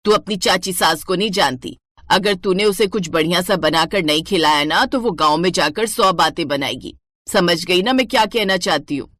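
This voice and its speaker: A woman's voice: lowish voice, moderate pace, a bit bright, dry recording. A woman voicing a fault-finding older Indian mother-in-law in a storybook cartoon, bossy and given to nagging, muttered asides. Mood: bossy, instructing.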